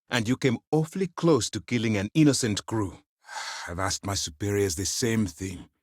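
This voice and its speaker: An actor playing a stern, principled military space-fleet commander in a video game, speaking formally and with measured authority. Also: male voice, on the low side, no room sound, studio quality. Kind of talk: dramatic game character dialogue.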